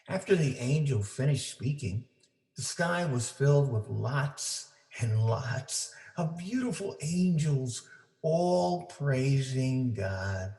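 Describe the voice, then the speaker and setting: A man reading a children's story aloud for families, with deliberate, simple phrasing for young listeners. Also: mid-range voice, mellow. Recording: roomy, echoing indoor sound.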